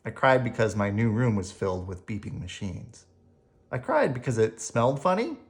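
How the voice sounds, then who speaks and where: A storyteller reading a children's picture book aloud in a gentle bedtime manner, adding spoken asides along the way. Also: medium pitch, sing-song, normal speed. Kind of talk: expressive read-aloud with ad-libbed asides.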